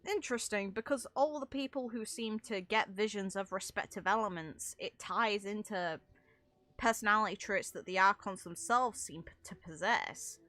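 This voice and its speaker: A woman's voice: mid-range voice, normal speed, sharp tone. A woman streaming a game with casual live commentary, rambling as she thinks aloud and swearing mildly.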